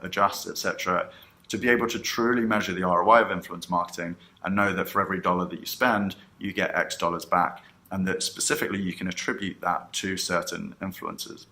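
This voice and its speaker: A man's voice: sits low, pushed and bright. A man giving a rehearsed product announcement as a company co-founder, starting sentences with emphasis and letting the ends fall away.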